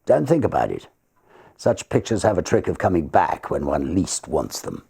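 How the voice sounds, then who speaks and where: A man acts out an older barrister from a storybook, composed and kindly. The voice is husky and full of gravel, with an avuncular, measured manner and lively intonation. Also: sits low, pitched above the performer's own voice, heavily acted. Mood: gently cautioning.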